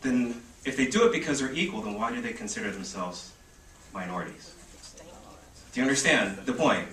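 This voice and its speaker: Argumentative man with a bright voice. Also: mid-range voice, lively intonation, fairly quick. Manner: questioning, argumentative. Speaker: panelist at a press conference. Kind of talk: addressing reporters. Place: press club conference room. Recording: echoey room, distant mic, faint noise.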